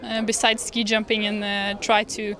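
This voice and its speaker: A woman's voice: mid-range voice, monotone, moderate pace, fairly bright tone, faint music behind. A young woman from Norway with a Norwegian accent, answering interview questions casually in a hesitant, good-humoured way. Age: young adult. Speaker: ski jumper being interviewed. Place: large indoor lobby. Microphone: handheld reporter microphone.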